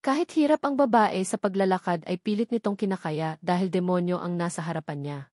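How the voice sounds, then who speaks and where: A woman's voice: lowish voice, a little fast, a little mellow, no room sound, studio quality. A woman reading scripted fiction aloud as an audiobook narrator.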